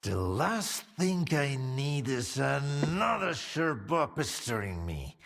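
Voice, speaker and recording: An actor playing a boozy, sardonic older scientist in a video game, theatrical and philosophising, with a husky, gravelly voice. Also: male voice, fairly high pitch, a bit bright, long reverb tail, exaggerated voice. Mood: boozy, charitable. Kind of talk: video game cutscene acting.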